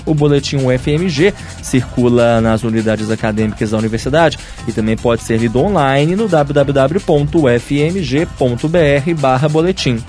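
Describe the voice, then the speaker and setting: A man presenting a radio news bulletin, reading a script clearly and steadily. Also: on the high side, darkish tone.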